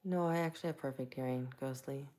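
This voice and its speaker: A soft-spoken woman from Tampa, Florida, chatting casually with viewers while hosting a live stream into a plugged-in microphone. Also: rumbling low, fast talker. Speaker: livestream host.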